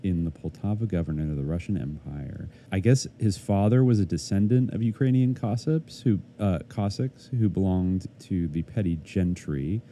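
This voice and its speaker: A man's voice: low-pitched, normal speed, few pauses, mellow, a little hiss or hum. A man co-hosting a podcast, chatting casually and rambling with lots of "like" and "I guess". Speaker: podcast co-host. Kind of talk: casual chat.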